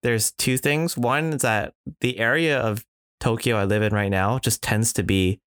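A man's voice: medium pitch, fairly even intonation, normal speed, fairly bright tone, smooth, dry recording, clean studio sound. A man in casual podcast chat, reflective, with many fillers and restarts. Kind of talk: casual conversation.

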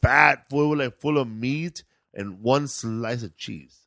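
A man's voice: medium pitch, slow pace, gravelly, fairly bright tone, dry recording, clean studio sound. A man hosting a casual podcast, chatting in a laid-back, slangy, repetitive way. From California. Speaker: podcast host. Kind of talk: casual comedic podcast chat.

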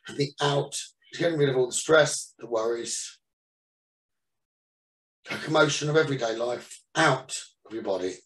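A man leading a guided meditation as the teacher, giving soothing instructions in short phrases with pauses between them. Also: medium pitch, a bit bright. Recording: roomy echo, distant from the mic.

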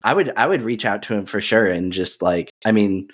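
Low-voiced, hesitant male podcast host. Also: expressive, fairly quick. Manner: hesitant, with many uhs, warm laughs. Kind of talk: casual interview.

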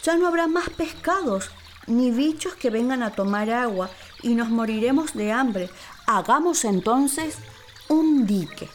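A woman voicing a band of stubborn, defiant river caimans for children's radio theatre, calling out loudly and emphatically. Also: on the high side, animated, unhurried, a little hiss or hum, pitched above the performer's own voice. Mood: resolved, worried.

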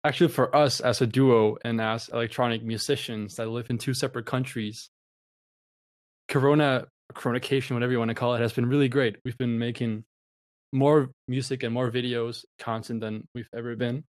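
A man's voice: mid-range voice, steady tone, brisk, no room sound. Young man from denmark, podcast guest, upbeat, laughs easily, dry close sound. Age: young adult. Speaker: guest musician. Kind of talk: casual interview chat.

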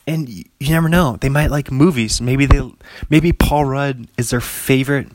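A man's voice: mid-range voice, normal speed, a little mellow, dry recording. A man hosting a podcast on his own, rambling in a loose, chatty way and often chuckling between thoughts. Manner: rambling, chuckling, heavy use of "like". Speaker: podcast host. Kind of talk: casual solo podcast monologue.

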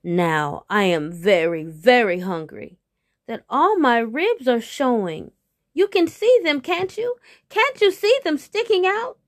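A hungry, easily rattled storybook tiger, acted out by a woman. He begs and wheedles in a high voice, dragging out his words with great drama. The delivery is slow and the sound close and dry. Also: big pitch swings, no room sound, exaggerated voice. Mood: wheedling, self-pitying. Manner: drawn-out, wheedling, overdramatic pleading. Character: hungry, pleading, easily panicked male tiger. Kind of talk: animated storybook character voice.

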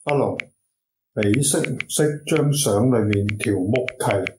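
A man's voice: mid-range voice, a little slow, natural voice. A man acting a blunt, suspicious office schemer in a radio drama, speaking slangy Hong Kong Cantonese. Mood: blunt, probing. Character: blunt, suspicious man checking up on shady jobs. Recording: roomy echo with clear background noise.

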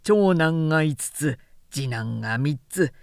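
Woman as middle-aged samurai man, low voice, garrulous, pompous, lecturing, storybook reading, dry close sound. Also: lively intonation, takes it slowly, gravelly, dry recording, full character voice. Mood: chatty, self-satisfied. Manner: long-winded, pompous, lecturing.

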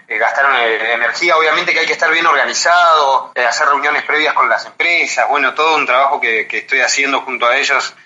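A young man from Uruguay with a Uruguayan accent, chatting in a relaxed, friendly way as an interview guest, often tagging his sentences and restarting them. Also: mid-range voice, quick pace, hardly any pauses. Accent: Uruguayan Spanish. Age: young adult. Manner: relaxed, friendly, many filler tags and restarts. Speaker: guest, event host and entertainer. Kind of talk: casual interview chat. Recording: roomy, dull, lo-fi radio sound.

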